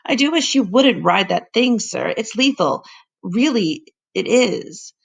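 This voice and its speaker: A woman's voice: mid-range voice, expressive, unhurried, creaky, breathy edge, exaggerated voice. A woman voicing an anxious, deferential female housekeeper in a storybook, speaking politely and hesitantly.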